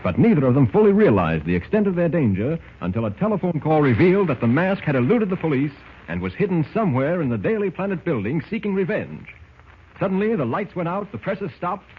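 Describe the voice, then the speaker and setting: A man's voice: a little high, very animated, a little fast. A man narrating a 1940s radio serial from a script, with dramatic, declamatory announcer delivery. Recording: thin, tinny old radio recording, noise.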